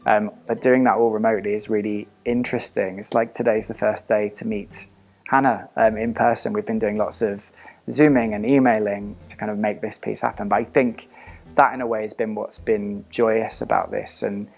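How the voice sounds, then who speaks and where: A man's voice: on the low side, flat delivery, moderate pace, gravelly. A man speaking as a theatre director, explaining a creative process in an interview.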